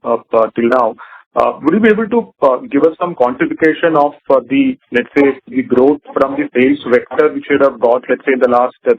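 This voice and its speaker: A man's voice: mid-range voice, dry recording. A man with an Indian accent asking questions as an analyst on an earnings call, in a hesitant, roundabout way. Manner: hesitant, roundabout, often saying "you know". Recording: tinny narrow phone line.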